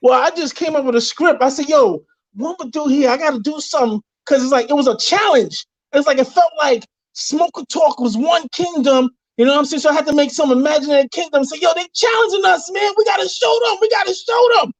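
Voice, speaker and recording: A man's voice: very high-pitched, a little fast, no echo. A man with a Trinidadian accent, chatting as a guest in a boisterous, slangy way. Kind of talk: casual chat. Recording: dull dry lo-fi sound.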